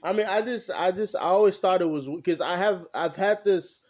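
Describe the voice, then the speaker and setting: A young man co-hosting a podcast, talking casually and haltingly, often stopping to search for his words. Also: sits high, a little mellow. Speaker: podcast co-host. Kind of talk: casual discussion.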